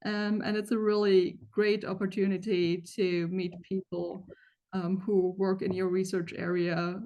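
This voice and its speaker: A young woman who moderates an online talk gives a welcome and housekeeping notes over a video call, friendly and informal, often pausing on fillers. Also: mid-range voice, flat delivery, dark tone, muffled recording. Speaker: moderator introducing a speaker.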